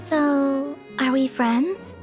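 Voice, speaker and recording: A woman's voice: sits high, fairly even intonation, drawn-out words. Serene, naive, otherworldly young woman. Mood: serene, innocent. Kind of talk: anime game voice acting. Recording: music behind.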